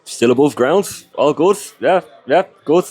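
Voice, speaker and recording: A man's voice: on the high side, animated, measured pace, darkish tone, slightly rough, no echo, soft background music. A young Irish man, whose first language is Irish, chatting casually in an interview and rambling with lots of fillers. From Ireland. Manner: rambling, heavy on "you know" and "like" fillers.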